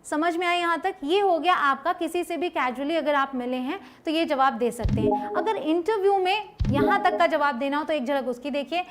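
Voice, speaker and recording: High-pitched Indian woman teaching step by step. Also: music in the background. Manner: checks understanding often, slows down to model example sentences. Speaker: English teacher presenting an online lesson. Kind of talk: instructional lesson, explaining step by step.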